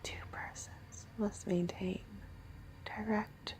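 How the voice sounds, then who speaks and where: A woman's voice: medium pitch, fairly even intonation, darkish tone, low-quality recording. Woman as a creepy whispering fox girl. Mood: eerie, hushed. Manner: slow whispering, sinister teasing. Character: creepy, teasing fox girl creature held in containment. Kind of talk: ASMR horror roleplay.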